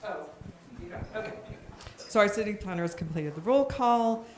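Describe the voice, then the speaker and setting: A woman's voice: medium pitch, animated, normal speed, slight background noise. A woman chairing a city commission meeting, running the agenda with brief informal asides. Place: council chamber.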